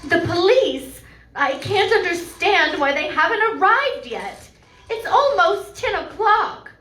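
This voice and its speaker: A woman acts out a frightened thriller heroine with a high voice and slow, deliberate lines. She is dramatic and suspicious, in old-time radio style. The room around her is echoey. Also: animated, pushed and bright, heavily acted. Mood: alarmed. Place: live stage performance in a venue.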